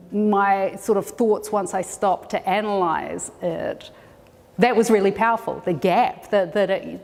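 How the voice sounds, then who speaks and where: A woman with a lowish voice speaks thoughtfully about her work as a scholar, pausing often and restarting phrases as she works her thoughts out. Her voice carries a long reverb tail, as if in a large space. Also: vocal fry, a little mellow, slight background noise. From New Zealand. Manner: thoughtful, hesitant with frequent ums and restarts. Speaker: scholar and educator. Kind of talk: reflective interview answers.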